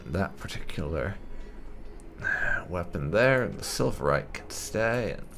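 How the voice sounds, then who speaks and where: A man's voice: low-pitched, expressive, measured pace, gravelly, fairly bright tone, a little hiss or hum. A man hosting a gaming video, giving casual, wry commentary as he muses to himself while playing. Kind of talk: casual roleplay game commentary.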